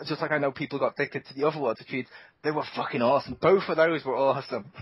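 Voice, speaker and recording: A man co-hosting a casual gaming podcast, excitable and laughing often, with sudden high squeals. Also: quick pace, slightly rough, no room sound. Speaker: podcast co-host. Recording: dull lo-fi home podcast mic.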